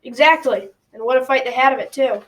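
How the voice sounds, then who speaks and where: A woman's voice: sits high, quick pace, close to an everyday voice. A teenage girl narrating a folktale as scripted storytelling in an amateur school radio drama. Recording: noisy, distant from the mic.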